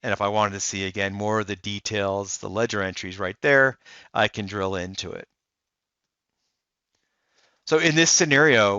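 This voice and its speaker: Man narrating a dry, dull-sounding software demo. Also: fairly low pitch, clear, resonant tone, dry recording. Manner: relaxed explanatory with frequent fillers. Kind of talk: screen-recorded software walkthrough.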